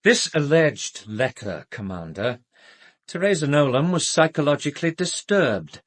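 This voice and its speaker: An arrogant British doctor in a radio drama. His voice is husky and swings widely in pitch, with precise, emphatic phrasing and a haughty, self-justifying air. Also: sing-song, unhurried. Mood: dismissive. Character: arrogant, self-justifying male doctor under police questioning.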